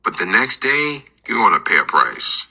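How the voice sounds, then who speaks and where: A middle-aged man telling other gamers about aging, in rough, humorous, complaining casual talk. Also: on the low side, sing-song, normal speed, bright, forceful tone.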